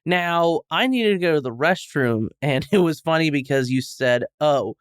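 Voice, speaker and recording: A man on a review podcast, chatting casually and joking in a halting, rambling way. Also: on the high side, lively intonation, clean tone, dry recording, studio quality. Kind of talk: casual comedic chat.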